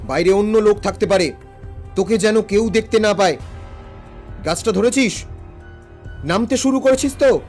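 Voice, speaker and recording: A man's voice: high voice, normal speed, long pauses, darkish tone, soft background music, natural voice. Man acting as commanding, protective detective uncle, Kakababu. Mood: urgent, commanding. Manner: urgent, authoritative, reassuring. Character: brave, protective older male detective uncle, grave and commanding. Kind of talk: Bengali audio story acting.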